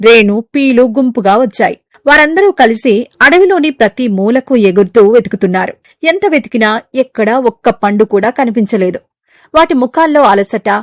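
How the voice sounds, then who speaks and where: A woman narrating an animated moral story in a smooth, descriptive, scripted way. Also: on the high side, no echo, clean studio sound.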